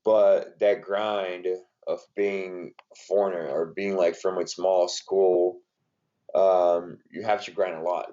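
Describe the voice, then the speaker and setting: A man's voice: sits low, level tone, slow, dark tone. A man from Brazil with a Brazilian accent, speaking non-native English in a casual interview and rambling with many fillers as a strength coach. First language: Portuguese. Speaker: NBA strength and conditioning coach. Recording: dull lo-fi audio.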